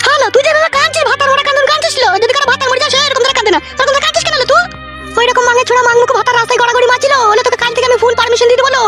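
A foul-mouthed, bossy cartoon mother from the village, scolding in coarse slang. Her artificially raised voice is extremely high and races along, with music clearly playing behind her. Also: steady tone, rapid-fire. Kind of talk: comic cartoon dialogue.

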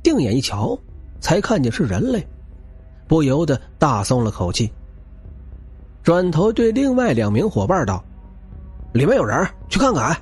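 Man, dramatic novel narration, slightly high pitch, husky, background music. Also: wildly swooping pitch, a little slow, long pauses, a little mellow. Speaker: audiobook narrator.

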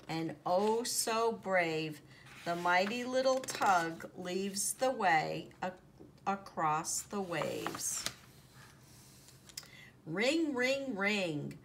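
A woman reading a children's picture book aloud in an expressive, deliberate storytelling manner, drawing out the sound words. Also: medium pitch. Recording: noticeable background noise, home recording.

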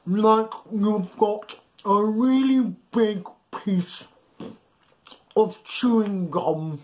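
A man's voice: high voice, very slow. A man in his thirties reading a bedtime story to young children in a playful, exaggerated way, coaxing them to join in. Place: small furnished room at home, indoors.

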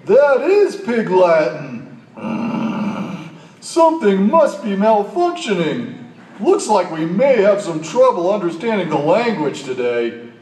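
A man voicing an eager, boastful detective dog puppet in a children's show, with exaggerated, clearly enunciated delivery. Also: sits high, sing-song, slow pace, darkish tone, exaggerated voice. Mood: puzzled. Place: large hall, phone recording from the audience. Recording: echoey hall, far from the mic.

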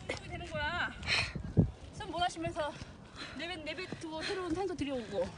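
A middle-aged woman hiking outdoors with her daughter, giving quiet, encouraging instructions in a casual tone. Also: extremely high pitch, fairly quick, very noisy. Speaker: hiker coaching her daughter. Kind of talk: casual coaching chat. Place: outdoor hilltop trail.

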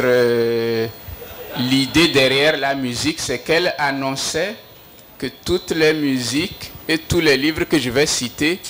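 A middle-aged man from Togo, French not his mother tongue, answering questions slowly and thoughtfully at a book fair talk, with many pauses and hesitations, speaking into a handheld mic. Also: medium pitch, fairly bright tone, slight background noise. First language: a Togolese language. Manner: slow and reflective, many hesitations, gestures with free hand. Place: indoor book fair stage before an audience.

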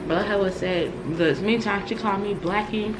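A woman's voice: medium pitch, fairly even intonation, fairly quick, very noisy. A young woman co-hosting a casual food review vlog, chatty and teasing, with easy slangy talk, seated at a table close to the camera indoors. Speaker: vlog co-host.

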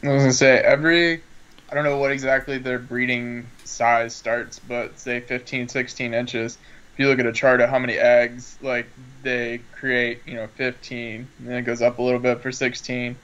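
A young man explains a point as he thinks it through. His delivery stays flat and monotone. He speaks over a video call on earbuds, and the audio sounds dull and lo-fi. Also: medium pitch, moderate pace, smooth. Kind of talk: casual podcast chat.

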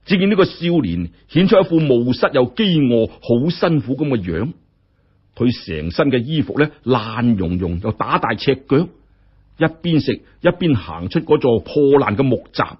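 A man's voice: mid-range voice, big pitch swings, normal speed, breathy edge, lo-fi sound. A man with a Hong Kong Cantonese accent telling a scripted story in a dramatic way.

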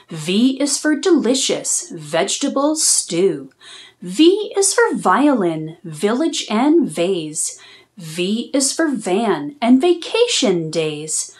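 A woman reading a storybook aloud to young children, with expressive, deliberate phrasing. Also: mid-range voice. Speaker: children's read-aloud host.

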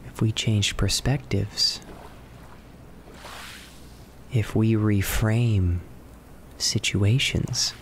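A man narrating a guided relaxation in a measured, soothing manner, with long pauses between short phrases. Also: fairly low pitch. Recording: steady ocean wave noise behind voice. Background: ocean waves and relaxation music.

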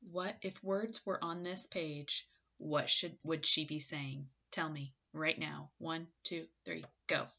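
A woman in her thirties reads a picture book aloud like a teacher, expressively, with dramatic pauses and questions to young listeners. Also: deep voice, fairly even intonation, creaky, pushed and bright. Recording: slightly dull webcam audio.

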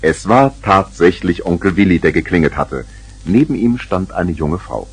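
A man's voice: sits low, animated, normal speed, very noisy. A man narrating a scripted children's story.

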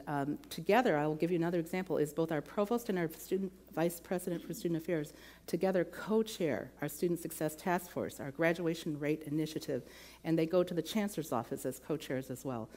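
A middle-aged woman from the American Midwest addresses a campus forum in a lecture hall. Her voice sits low for a woman, and she talks earnestly through detail on a lapel mic. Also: a little mellow. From Midwestern United States. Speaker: provost candidate.

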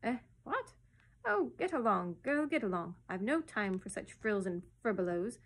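A woman voicing a bossy, greedy old male starling in a storybook, shrill and pompous. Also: very animated, moderate pace. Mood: brusque. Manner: pompous, wheedling, brusque.

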